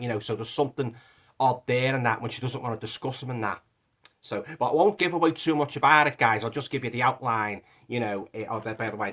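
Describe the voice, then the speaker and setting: A man's voice: medium pitch, fairly bright tone. A man with a Liverpool accent, throaty from a lingering cough, casually reviewing a movie with lots of fillers and restarts. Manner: rambling, many fillers and restarts. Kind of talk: casual film review vlog.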